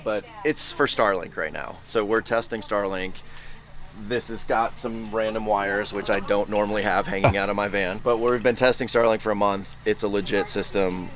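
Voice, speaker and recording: A man, an interior designer, explaining his van build in relaxed, enthusiastic, chatty answers, his voice groggy from the morning. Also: fairly low pitch, moderate pace. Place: outdoors by his van, then inside it.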